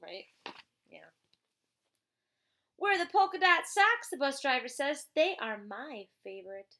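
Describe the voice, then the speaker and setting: A woman with a fairly high, bright voice reads a storybook aloud to a child at an unhurried pace. She is playful and chatty, in the manner of a teacher. She slips easily between the text and casual questions to her young listener. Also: very animated. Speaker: teacher reading a picture book aloud to a child.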